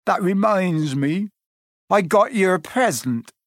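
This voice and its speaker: A huge bearded half-giant from a storybook. He is tender and easily overcome, with rustic, heartfelt speech. The voice rides high in a very dry recording. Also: lively intonation, no room sound. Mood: gruff, fond. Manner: sobbing, drawn-out, rustic colloquial speech.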